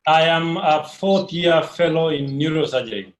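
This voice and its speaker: A man's voice: a little high, moderate pace. A man, a neurosurgery fellow, explaining things in a friendly, direct way on a video call. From Nairobi, Kenya. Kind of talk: casual video call chat.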